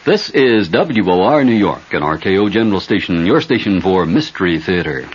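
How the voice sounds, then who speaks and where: A man's voice: low voice, lively intonation, moderate pace. A man announcing in a polished vintage commercial style for radio. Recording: muffled old radio broadcast, loud music.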